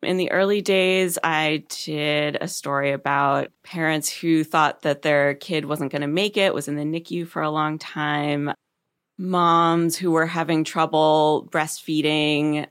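A middle-aged woman with a deep voice, talking thoughtfully in a conversational interview and laughing easily. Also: steady tone, measured pace, fairly bright tone, clear, resonant tone. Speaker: podcast host, guest.

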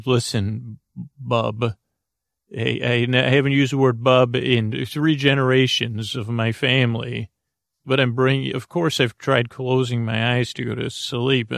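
A man's voice: mid-range voice, gravelly, a bit bright, dry recording, clean studio sound. A man hosting a sleep podcast, talking in a meandering, self-interrupting way with wry asides. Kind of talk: rambling bedtime podcast intro.

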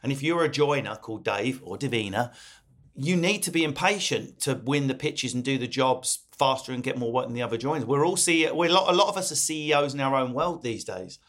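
A man's voice: mid-range voice, fairly quick, a bit bright. A man hosting a casual podcast chat, brash and jokey, swearing freely and cutting in. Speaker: podcast host.

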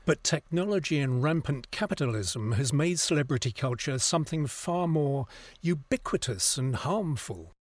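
A man's voice: on the high side, animated, moderate pace, dry recording, clean studio sound. A man playing a scathing, articulate cultural critic debating on a broadcast news panel in an audio drama. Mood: earnest, critical. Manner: articulate, opinionated.

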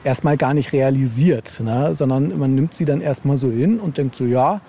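Bright-toned rambling man, slightly noisy recording. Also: medium pitch, moderate pace, vocal fry. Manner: rambling, tags phrases with "ne". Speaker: interviewed novelist. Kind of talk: casual podcast interview chat.

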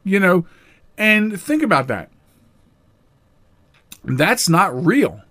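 A middle-aged man hosting a solo podcast, talking in a rambling, animated way with frequent restarts and sudden jumps in pitch for emphasis. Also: very slow. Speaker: podcast host.

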